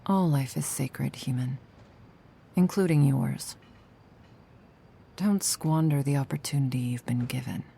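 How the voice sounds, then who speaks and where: A woman playing an ageless vampire gardener in a slow, intimate ASMR roleplay, measured and solemn with a deadpan edge and a soft, sometimes breathy voice. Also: a bass voice, heavily acted. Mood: solemn, wistful. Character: ageless vampire woman gardener, sardonic yet tender, protective.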